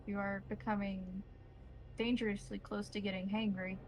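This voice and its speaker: A woman with a medium-pitched voice serves as game master for a tabletop roleplaying session. She moves between descriptive narration of the scene and plain talk with the players about rules and rolls. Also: flat delivery, moderate pace, slight background noise, poor audio.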